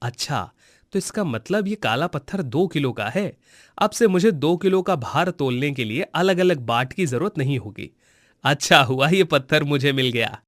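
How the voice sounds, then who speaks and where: A man's voice: a little high, expressive, normal speed, a bit bright, no echo, studio quality. A man playing a humble, pious village butcher in a cartoon moral story, speaking earnestly. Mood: curious, musing. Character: devout, humble male village butcher, gentle and wondering.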